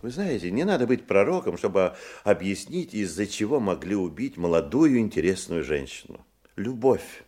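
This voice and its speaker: A man's voice: lowish voice, very animated, normal speed, darkish tone, husky. A man acting in a radio drama as a boastful, nervous womanising hotel guest, theatrical and effusive, with hesitations and stammers. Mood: self-important.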